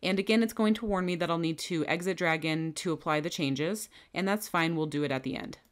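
A woman giving calm, methodical step-by-step instructions as a software trainer in a scripted screen-recording tutorial. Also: on the low side, brisk.

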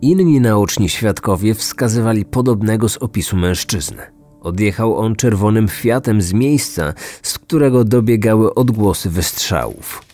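Low-pitched male true-crime narrator. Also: lively intonation, moderate pace, a little mellow. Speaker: narrator and podcast host. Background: music.

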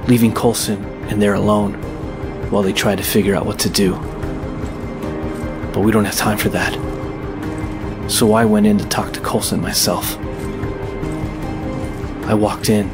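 A man plays a brooding vigilante detective narrating an audio drama. His voice is low and flat, with grave, clipped, deliberate phrases. Loud music runs behind him. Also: lightly acted. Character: brooding, terse male masked vigilante detective. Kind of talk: first-person scripted narration, audio drama.

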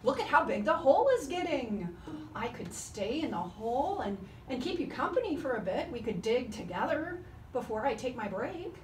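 A patient, kindly male kangaroo from a folk tale, played by a woman. The voice is high and very animated, coaxing and polite. It sounds set back from the microphone. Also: moderate pace, reverberant, recorded from a distance, a little hiss or hum, rough recording. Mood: frustrated pleading.

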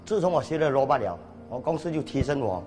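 A man's voice: medium pitch, very animated, fast, loud music behind the voice. A man of fifty, a factory worker, talking earnestly in a documentary interview in a factory storeroom. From Singapore. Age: 50s. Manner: plain, earnest talk.

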